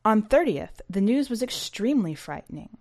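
A woman's voice: medium pitch, big pitch swings, moderate pace, darkish tone. A woman hosting a fiction podcast, speaking in a scripted, read-aloud presenting and narrating style. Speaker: podcast host and story reader.

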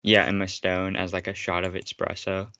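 A man's voice: low-pitched, flat delivery, moderate pace, pushed and bright, no echo, highs cut off. A man co-hosting a casual podcast, rambling and teasing his friend with frequent laughter. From United States. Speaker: podcast co-host. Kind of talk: casual chat.

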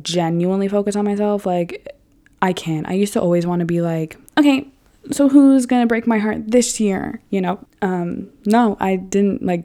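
A young woman in her early twenties rambles frankly to her viewers, dropping curse words and a steady stream of "like." Her voice sits low and sounds warm and mellow. Her delivery stays loose and conversational throughout. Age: 20s. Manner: chatty, frank and profane, lots of "like". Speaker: vlogger. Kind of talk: casual talk to camera.

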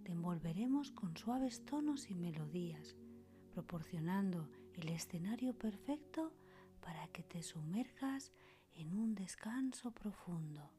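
Whispering woman, very unhurried meditation guide. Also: fairly low pitch, lively intonation. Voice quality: breathy whisper. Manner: soothing, unhurried pauses between short phrases. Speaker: meditation podcast host. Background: soft meditation music with singing bowls.

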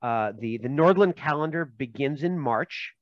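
A man's voice: mid-range voice, animated, moderate pace, no room sound, lo-fi sound. A man from Minnesota, a game designer, talking casually in an interview with many fillers and restarts and joking asides.

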